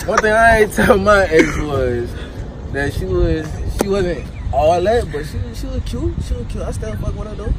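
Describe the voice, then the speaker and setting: High-voiced American man, very noisy street. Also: expressive, moderate pace, recorded from a distance. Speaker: street interview respondent. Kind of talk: casual chat. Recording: very loud street and car noise.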